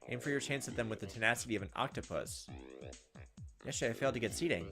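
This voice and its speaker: A man's voice: medium pitch, fast talker, creaky. A man hosting gameplay, reading a visual novel aloud with casual commentary. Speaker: gameplay host. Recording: loud game music behind.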